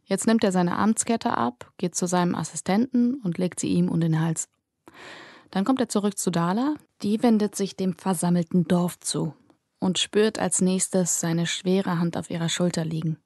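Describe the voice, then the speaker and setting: A woman narrates a fantasy audiobook in a calm, measured way. Her voice sits a little low and sounds close and dry. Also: no echo.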